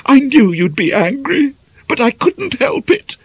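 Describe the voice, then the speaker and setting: A man voicing a timid, frightened young woman in a halting, pleading, tearful way for an audiobook. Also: very high-pitched, very animated, slightly rough, no room sound, pitched above the performer's own voice, exaggerated voice. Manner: halting, whispery, pleading, stammering.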